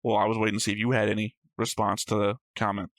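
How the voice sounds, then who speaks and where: Man, reaction show host, lowish voice, matter-of-fact, self-correcting, casual discussion, very dry sound. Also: completely flat delivery, fast, no echo. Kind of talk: casual discussion, reading out notes and comments.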